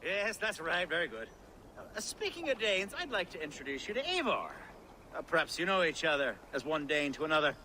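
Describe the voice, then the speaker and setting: A man's voice: fairly high pitch, very animated, a little fast, sharp tone, a little hiss or hum. An actor playing a nervous, chatty, rambling Anglo-Saxon nobleman in a video game cutscene. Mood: flustered cheer. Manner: rambling, fussy, flustered, effusive.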